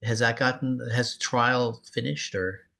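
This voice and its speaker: A man's voice: mid-range voice, steady tone, measured pace, vocal fry, pushed and bright. A man chatting casually as an interviewer, earnest and rambling, often repeating the same filler tags. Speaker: cartoonist interviewing a peer.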